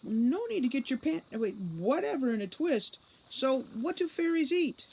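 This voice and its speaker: A woman's voice: high voice, big pitch swings, measured pace, gravelly, warm tone, slightly rough, pitched above the performer's own voice, heavily acted, low-quality recording. A woman voicing a feisty young female guard in a storybook, bossy, scolding and mocking. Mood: irritated.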